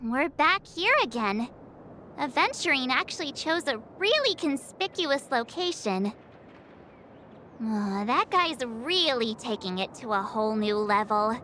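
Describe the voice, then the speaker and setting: An actress voicing a perky, outspoken teenage girl adventurer in a video game, bubbly, informal and expressive. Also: on the high side, a little slow, slight background noise. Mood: exasperated.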